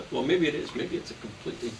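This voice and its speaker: A man's voice: fairly high pitch, brisk, gravelly. A man chatting casually, with a loose, teasing and conversational manner. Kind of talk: casual chat, joking banter. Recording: noisy, distant mic.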